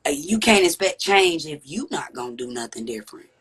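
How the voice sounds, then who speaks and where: A woman with a deep, raspy voice, speaking candidly and reflectively as a podcast guest. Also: big pitch swings, brisk, fairly bright tone. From Texas. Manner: reflective, earnest, with frequent "you know what I'm saying". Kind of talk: candid conversational interview.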